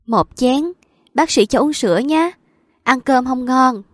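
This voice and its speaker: A woman voicing a distraught young woman in a hospital who pleads, high-pitched and loud, for her lost love, in an audiobook reading. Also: a little slow, dry recording, clean studio sound. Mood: childlike pleading.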